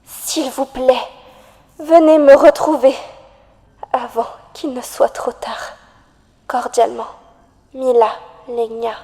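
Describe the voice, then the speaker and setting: A desperate young woman played by an actress pleads to be rescued from the dark in an animated horror story. Her voice is fairly high and mellow, earnest and theatrical, washed in long reverb. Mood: solemn pleading. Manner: pleading, earnest, slow dramatic delivery. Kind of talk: dramatic monologue in an animated horror fandub.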